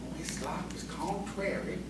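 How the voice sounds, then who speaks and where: A man's voice: normal speed, lightly acted. A man acting in audio theatre as a sly, placating rustic crony with folksy country phrasing. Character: rustic sly crony, placating his hot-headed boss. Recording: echoey, distant, noisy stage recording.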